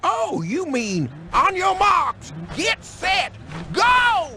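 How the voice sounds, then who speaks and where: An actor playing a pompous, greedy, blustering county boss with a theatrical delivery. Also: male voice, extremely high pitch, huge pitch swings, extremely slow, full character voice. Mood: grandiose.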